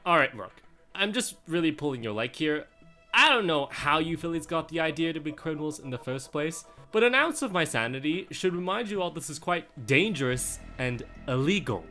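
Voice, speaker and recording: A woman's voice: low voice, sing-song, moderate pace, sharp tone, full character voice. A woman voicing a weary, sarcastic adult pony mentor in a parody cartoon, with music behind her. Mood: exasperated.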